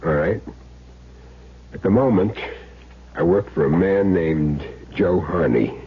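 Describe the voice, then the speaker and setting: An American actor playing a cool, menacing hired hitman in a 1970s radio drama, with clipped, sardonic, deliberate delivery. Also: low-pitched, husky, full character voice. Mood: sardonic threat. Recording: muffled, hissy 1970s radio broadcast recording.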